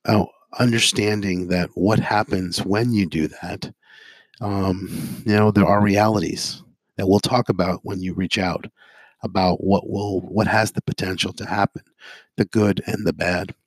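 A hoarse man, a psychotherapist and addiction interventionist, giving a halting talk to viewers full of ums and uhs and strings of listed phrases. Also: sits low, steady tone, no room sound. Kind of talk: informational monologue to viewers.

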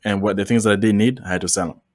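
A man's voice: lowish voice, steady tone, rapid-fire, dry recording, studio quality. A man talking as a podcast guest in a laid-back, loose and joking way. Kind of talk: casual conversation.

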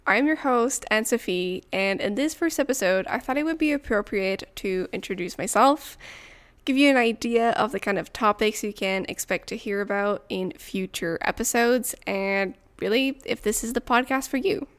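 Smooth-voiced woman hosting. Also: mid-range voice, normal speed. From Montreal, Canada. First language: French. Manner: chatty and personal, light laughs. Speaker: podcast host. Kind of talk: solo podcast monologue.

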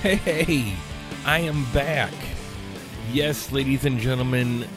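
A man's voice: mid-range voice, slow, music in the background. Tired, slightly nasal male podcast host. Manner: chatty, rambling, with frequent fillers and restarts. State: tired, recovering from illness. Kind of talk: casual solo podcast rant. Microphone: studio mic.

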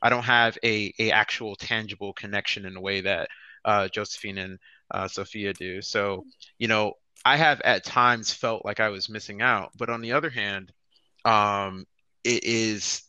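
An American man speaking as a guest in a casual podcast chat, thoughtful and a bit halting. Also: fairly low pitch, fairly even intonation, pushed and bright, clean tone, no room sound, low-quality recording. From United States. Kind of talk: casual group discussion.

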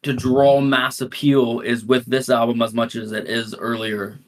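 A man's voice: mid-range voice, little pitch movement, measured pace. A man co-hosting a casual music podcast, talking in a rambling, hesitant way with many restarts. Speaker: podcast co-host. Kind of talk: casual chat.

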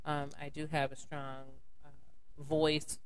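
Low-voiced woman chatting. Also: flat delivery, takes it slowly, slight background noise. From North Carolina, USA. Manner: chatty, rambling with many fillers, calls people honey. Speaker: podcast guest. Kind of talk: casual podcast chat.

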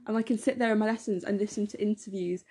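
A teenage girl, a bit hoarse, chatting enthusiastically as podcast co-host with lots of fillers. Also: mid-range voice, fairly even intonation, fast, warm tone. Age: teens. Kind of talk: casual podcast chat.

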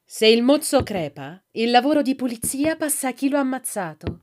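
A woman's voice: high-pitched, sing-song, brisk, a little mellow, slight background noise. A woman playing a stern, curt ship's officer giving orders in a fantasy audio drama. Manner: commanding, curt.

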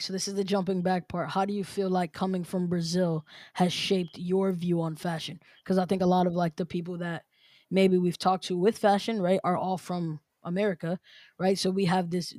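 A man's voice: sits high, little pitch movement, fairly quick, darkish tone, no room sound, clean studio sound. A man hosting a podcast, upbeat and chatty, often repeating and restating his own phrases. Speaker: podcast host. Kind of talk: casual interview chat.

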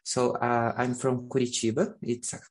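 A young man in his twenties answers interview questions. His voice is gravelly with a lot of fry. He talks at a middle pitch and fills his pauses with frequent "uh"s. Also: level tone. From Brazil. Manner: hesitant, many fillers. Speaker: medical student guest.

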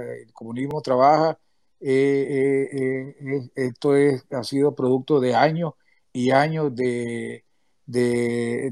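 A man giving closing remarks on a remote audio call, speaking in a halting way with many restarts and hesitations. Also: medium pitch, darkish tone, no room sound, clean studio sound. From Nicaragua. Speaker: activist speaker, former political prisoner. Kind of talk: closing remarks in an online audio chat room.

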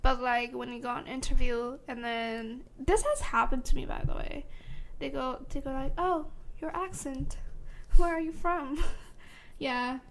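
A woman's voice: high-pitched, a little slow, slight background noise. A young woman hosting a casual podcast, talking hesitantly with lots of fillers. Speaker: podcast host.

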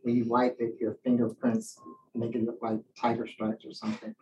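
A man's voice: mid-range voice, moderate pace, vocal fry. Guy chatting thoughtfully like a writer at a q&a, acts out quotes, mic kinda far off, bit of background noise. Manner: animated storyteller, acts out quoted remarks. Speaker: poet and writing teacher giving a talk. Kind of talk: casual reflective question-and-answer talk.

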